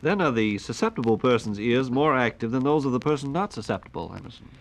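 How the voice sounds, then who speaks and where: Brisk American man, 1940s radio announcer. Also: medium pitch, expressive. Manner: formal, polished, inquiring. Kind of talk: 1940s scripted radio hosting. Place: radio studio.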